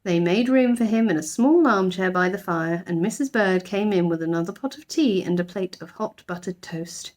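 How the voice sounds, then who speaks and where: A woman reads a children's story aloud as a teacher would. Her pitch is mid-range and very animated, rising and falling with the narration. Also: normal speed, few pauses.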